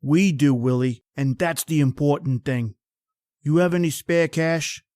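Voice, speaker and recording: An actor playing a wry, world-weary painter with a morbid wit, in a theatrical old-time radio horror style. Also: male voice, a little high, a little slow, a little mellow, dry recording, clean studio sound, lightly acted.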